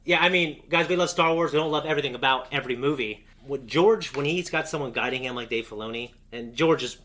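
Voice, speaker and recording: A man's voice: mid-range voice, quick pace, a bit bright. A man co-hosting a casual fan discussion, talking in a rambling, enthusiastic way. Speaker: co-host of a reaction show.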